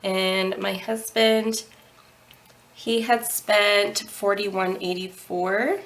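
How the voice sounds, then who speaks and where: Slow, gravelly-voiced woman with a head cold. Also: medium pitch, fairly bright tone, poor audio. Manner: unhurried, with frequent ums and throat clearing. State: congested with a head cold, nasal. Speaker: budgeting channel host. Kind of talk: casual budget walkthrough.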